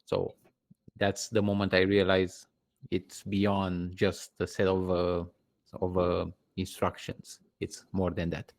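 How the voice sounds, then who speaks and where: A man whose first language is Romanian, hosting and moderating an online panel in a relaxed, organized way over a video call. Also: low voice, slow, no echo. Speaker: webinar host and moderator.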